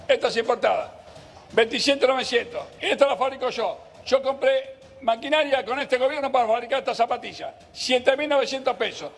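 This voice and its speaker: A man's voice: very high-pitched, a little fast, vocal fry, long reverb tail. An eighty-year-old man with an Argentine Rioplatense accent arguing heatedly and repeating himself as a merchant in a live TV interview. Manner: agitated, argumentative, repeats himself. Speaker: textile merchant being interviewed. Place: inside a clothing shop. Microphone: handheld reporter microphone.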